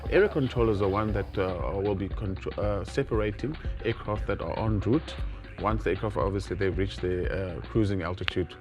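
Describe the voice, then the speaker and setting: A man with a South African accent and a fairly low voice answers as a studio interview guest. His explanations are formal but halting, and music plays clearly behind him. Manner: hesitant, formal, stop-start phrasing. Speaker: guest, air traffic controller.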